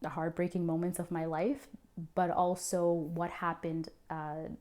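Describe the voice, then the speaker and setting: A woman's voice: on the low side, level tone, measured pace, darkish tone. A young woman from Zimbabwe who is a founder and creative director, telling her personal story in an earnest, reflective way with frequent ums. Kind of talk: personal story told to camera.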